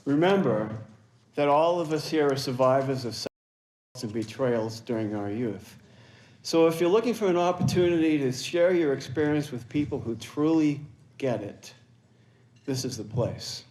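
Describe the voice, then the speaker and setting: A man's voice: mid-range voice, lively intonation, normal speed, a little mellow, low-quality recording. A man leading a support group conference, speaking in an upbeat, welcoming way to the audience.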